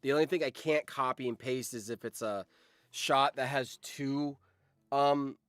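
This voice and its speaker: A man's voice: medium pitch, steady tone, measured pace, fairly bright tone, no echo. Casual man streamer with a close, dry sound. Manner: rambling, freely swearing. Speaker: streamer and video editor chatting with viewers. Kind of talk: casual livestream chat.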